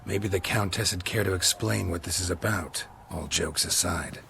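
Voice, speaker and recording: A man playing a stoic, courteous monster hunter in a video game, terse and deadpan. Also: deep voice, moderate pace, creaky, a little hiss or hum, heavily acted. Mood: probing, wry.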